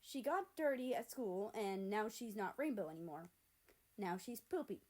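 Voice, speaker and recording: A woman's voice: medium pitch, lively intonation, moderate pace, darkish tone, lightly acted. A woman voicing a blunt, stubborn little sister who talks in a demanding, matter-of-fact way in a story reading. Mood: blunt, oblivious.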